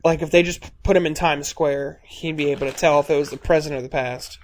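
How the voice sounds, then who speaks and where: A man's voice: fairly high pitch, fairly even intonation, fast. A man giving casual, blunt commentary while watching a movie. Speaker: film commentator.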